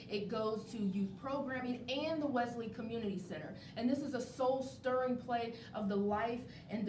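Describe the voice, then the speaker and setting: Echoey, higher-voiced woman hosting live. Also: darkish tone. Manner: encouraging, affirming, interjecting agreement. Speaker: live show host interviewing a play's cast. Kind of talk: casual live interview, promotional talk. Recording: echoey noisy room, distant mic, low rumble.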